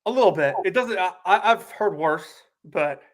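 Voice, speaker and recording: A man's voice: high-pitched, animated, normal speed. A sick young man co-hosting a casual sports podcast, chatty and full of "like" fillers. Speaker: podcast co-host.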